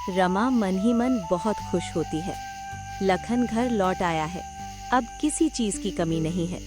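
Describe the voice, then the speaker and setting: A woman's voice: medium pitch, music in the background. Woman narrating a cartoon story. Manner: measured, storytelling.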